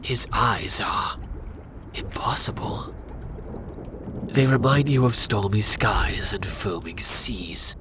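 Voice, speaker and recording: A man narrating a scripted fantasy ASMR roleplay in a hushed, often whispered voice with dramatic pauses. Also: on the low side, expressive, over music.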